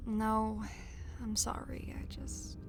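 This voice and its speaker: A woman playing a blunt, decisive female sergeant in a fantasy audio roleplay, speaking in a brisk, commanding manner. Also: on the high side, fairly even intonation, gravelly, whispered, music in the background. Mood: apologetic.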